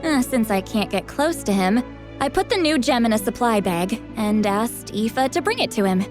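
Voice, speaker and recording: Woman voicing a tribe mentor lady in a game, kinda high youthful voice, frank and protective, sure of herself. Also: lively intonation, moderate pace, over music, natural voice. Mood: serious. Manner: candid, informal, self-assured. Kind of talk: video game voice acting.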